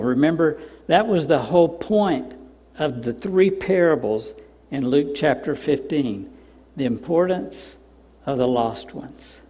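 An older man, a pastor preaching a sermon in a church sanctuary, telling stories and dropping to soft, emphatic repeats. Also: fairly high pitch, husky. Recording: long reverb of a church hall.

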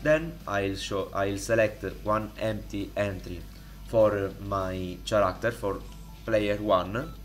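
A man with an Italian accent, speaking English as a second language, walks through a modding tutorial in a halting way, with many fillers and restarts, recorded on a desktop microphone. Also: on the low side, soft background music. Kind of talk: casual screen-recorded tutorial. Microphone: desktop USB microphone.

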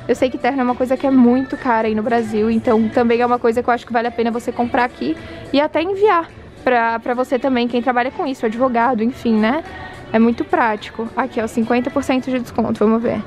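A woman's voice: fairly high pitch, fast, darkish tone, soft background music. Chatty woman vlogging in a store. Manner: chatty, reads out prices, addresses viewers. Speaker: shopping vlogger. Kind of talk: casual walk-through vlog. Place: large department store, indoors.

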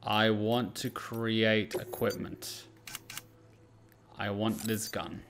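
A man's voice: lowish voice, flat delivery, drawn-out words, background noise. A man giving casual gameplay commentary, talking to himself and swearing freely as he goes. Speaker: gaming streamer.

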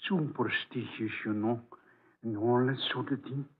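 An actor playing an evasive, deferential manservant with a halting, stammering delivery in 1940s radio drama style. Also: male voice, mid-range voice, fairly even intonation, slightly rough, natural voice. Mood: nervously reassuring. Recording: narrow, lo-fi old radio broadcast.

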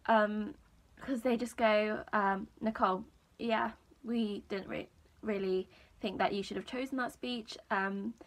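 A young woman vlogger with a high register and a croaky voice, chatting casually to camera in a small room, with lots of ums. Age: young adult. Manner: chatty, many ums, relaxed storytelling.

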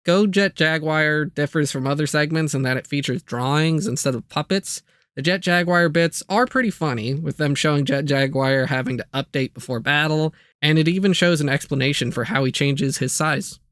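A man's voice: a little high, normal speed, a bit bright, dry recording, studio quality. A man delivering a casual, comedic scripted video review, with jokey asides and playful exaggeration.

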